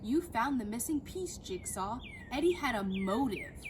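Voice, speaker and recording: A woman voicing a thoughtful, sharp-minded schoolgirl detective in a storybook, earnestly reasoning things through. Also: fairly high pitch, sing-song, measured pace. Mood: explaining. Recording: clear background noise outdoors.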